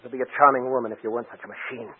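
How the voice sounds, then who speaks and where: A man's voice: mid-range voice, steady tone, racing, a little hiss or hum, natural voice. An actor playing a resentful, sarcastic male ballet dancer in an old-time radio drama, intense and defensive. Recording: tinny band-limited old radio recording.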